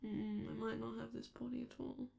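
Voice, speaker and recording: A performer, a woman, playing a vain, affectionate and theatrical robot star in an ASMR roleplay, with halting, doting asides and a creaky voice. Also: a little high, flat delivery, dark tone. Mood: chatty, amused.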